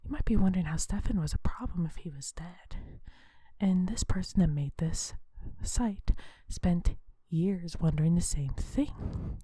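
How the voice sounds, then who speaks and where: A woman hosting an ASMR video in a soft, breathy whisper, talking in a hushed, unhurried, conversational way. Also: fairly low pitch, no echo.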